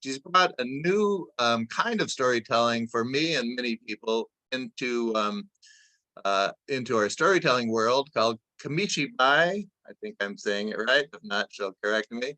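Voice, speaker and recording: A man hosting a storytelling event on a video call, giving informal introductions in a halting, rambling way. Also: medium pitch, lively intonation, bright, forceful tone, no room sound, rough recording. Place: indoors on a Zoom video call. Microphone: video call microphone.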